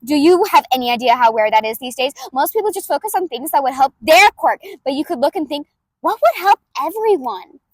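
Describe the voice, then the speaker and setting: A brash young inventor girl, as a woman puts her on for an audiobook. Her voice is high and loud, with a harsh throaty push. She is excitable and bubbly, recorded dry. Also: a little fast, dry recording. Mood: excited. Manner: exuberant, forceful, bubbly. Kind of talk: audiobook character voice.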